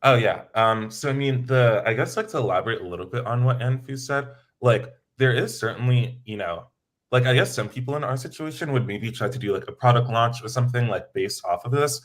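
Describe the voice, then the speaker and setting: A young American man, a student and engineer, answering interview questions in a relaxed way, full of filler words. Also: mid-range voice, fairly quick. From United States. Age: young adult. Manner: relaxed, many filler likes.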